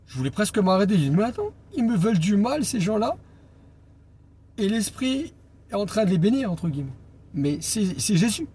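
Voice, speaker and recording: A man preaching an informal Bible teaching as an evangelist, speaking deliberately and pausing on key phrases for emphasis. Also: sits high, mellow. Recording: clear background noise and ambience.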